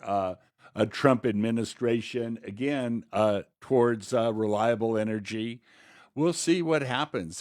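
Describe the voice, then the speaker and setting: American male podcast co-host, mid-pitched voice, casual political talk, tightly dry recording. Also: a little mellow, dry recording. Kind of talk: casual conversational political commentary.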